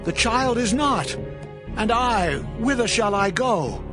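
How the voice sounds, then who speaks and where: A protective elder brother in a Bible audio drama, acted by a man, anguished and pleading. His voice sits high, with music playing clearly behind it. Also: lively intonation, slow, exaggerated voice. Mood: distraught.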